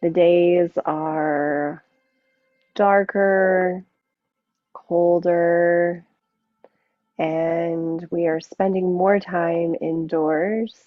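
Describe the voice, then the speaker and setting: Slow-spoken woman guiding yoga, phone-like sound. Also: fairly low pitch, steady tone, no echo. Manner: unhurried, gentle guiding with long pauses. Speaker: yoga teacher. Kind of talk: guided yoga and relaxation instruction. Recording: muffled narrow phone-like sound.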